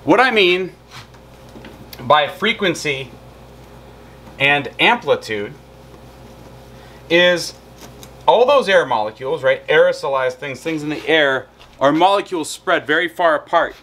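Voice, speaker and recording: Male lecturer, 30s, lively pitch, bright tone, teaching outdoors on camera. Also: measured pace, pauses often, a little hiss or hum. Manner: animated explaining, demonstrates on guitar and whiteboard. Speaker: psychology lecturer. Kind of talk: recorded lecture. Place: outdoors in a backyard patio and garden.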